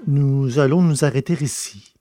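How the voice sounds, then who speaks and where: A man's voice: mid-range voice, normal speed, mellow, faint music behind. A man reading scripted narration for a science fiction audio drama.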